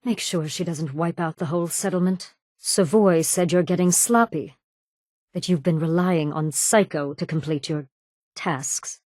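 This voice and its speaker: Cold, low-voiced female raider boss. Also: unhurried, darkish tone, no room sound, studio quality. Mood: stern, commanding. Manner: cold, commanding, sardonic. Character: ruthless, menacing female raider gang leader. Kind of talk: video game character dialogue.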